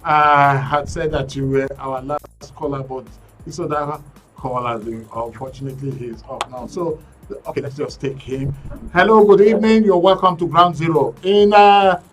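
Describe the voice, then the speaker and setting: A man hosting a live call-in radio talk show, briskly taking callers and guests with quick acknowledgements. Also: on the high side, far from the mic, faint music behind. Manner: brisk, cuts in with short acknowledgements. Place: radio studio.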